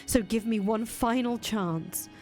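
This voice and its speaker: A woman voicing a soft-spoken young man condemned as a heretic, talking quietly in breathy whispers. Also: on the high side, slow, soft background music. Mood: tender. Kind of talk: audio drama acting.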